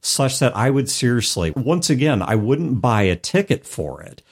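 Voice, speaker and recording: A man's voice: medium pitch, very animated, normal speed, husky. A man co-hosting a casual discussion, explaining things through everyday analogies. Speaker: podcast co-host. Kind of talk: casual discussion of tabletop role-playing.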